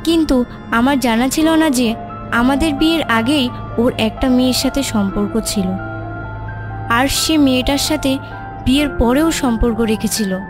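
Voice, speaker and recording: A woman's voice: sits high, unhurried, long pauses. A woman playing the sorrowful, remorseful ghost of a murdered young woman, plaintive and emotional in a dramatic cartoon story. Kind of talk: dramatic audio story acting. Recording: music under the voice.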